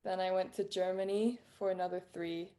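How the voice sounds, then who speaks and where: A woman's voice: on the low side, fairly even intonation, fairly quick, dark tone. A teenage girl chatting casually as an interview guest, laughing often and filling her talk with "like". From Philippines. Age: teens. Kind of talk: casual chat.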